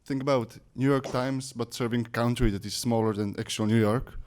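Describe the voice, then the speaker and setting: A man's voice: mid-range voice, fairly even intonation, brisk, darkish tone. A man with a Slovak accent introducing himself and his editorial job to an audience. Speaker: deputy editor-in-chief.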